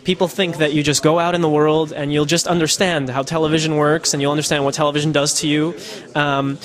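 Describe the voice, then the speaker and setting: A man's voice: fairly high pitch, flat delivery, fast talker, a bit bright. A man from the United States, a podcaster, answering interview questions in a casual, thoughtful way with many fillers and restarts. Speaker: podcaster and citizen journalist. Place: Netherlands.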